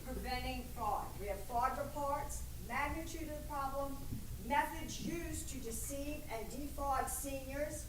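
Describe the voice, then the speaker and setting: A woman with a big, projecting voice giving a lively, chatty public presentation in a city council chamber. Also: high-pitched, recorded from a distance. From Mississippi. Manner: lively, chatty, talks without the mic. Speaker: presenter from state attorney general's office. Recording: echoey room, off-mic, noisy.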